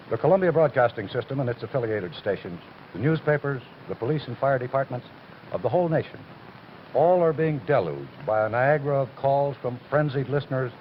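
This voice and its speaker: A man's voice: mid-range voice, natural voice. A male actor plays a grave drama narrator. His medium voice swings widely in intonation with portentous emphasis. The recording is dull with faint noise.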